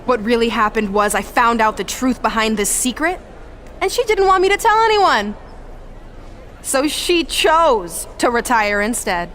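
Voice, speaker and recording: An actress playing a vindictive young woman wrestler in a video game cutscene, taunting and theatrical, with drawn-out emphasis. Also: fairly high pitch, a bit bright, slight background noise.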